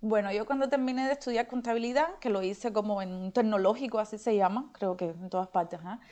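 A woman's voice: mid-range voice, a little fast, darkish tone. A woman from Cuba with a Cuban accent, chatting casually as an interview guest and telling personal stories.

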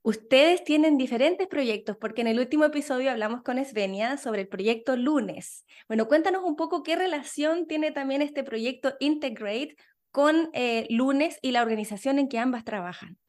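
Higher-voiced woman host asking questions. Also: moderate pace, darkish tone. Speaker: podcast host. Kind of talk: interviewing a guest.

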